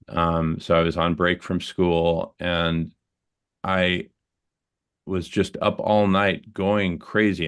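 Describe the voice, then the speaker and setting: A man sharing a personal story in a group conversation, earnest and reflective, halting with fillers and restarts. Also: deep voice, a bit bright.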